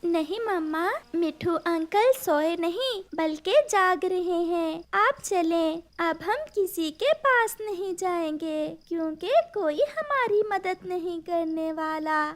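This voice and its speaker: A woman acts out a little girl bird in a cartoon story. The voice is extremely high and childlike, with an unhurried pace. She sounds earnest and caring, a small child trying to be brave. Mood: consoling. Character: young daughter bird, earnest and comforting child.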